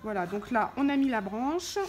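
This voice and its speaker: A woman's voice: a little high, animated, normal speed, a little mellow. A woman giving an informal, hands-on product tutorial, explaining step by step as she handles the parts. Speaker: tutorial presenter for a motorcycle gear channel. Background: background music.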